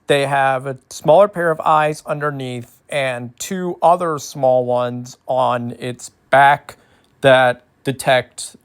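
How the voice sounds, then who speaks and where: A host with a mid-range voice gives an educational ASMR talk. The delivery is informal and detailed, explaining things step by step and slipping in playful asides. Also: medium pitch.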